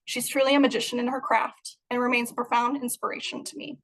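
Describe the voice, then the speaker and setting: A woman's voice: on the high side, little pitch movement, fast talker, low-quality recording. A young adult woman from Moldova, giving an earnest, prepared talk about her art over a video call. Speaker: guest artist.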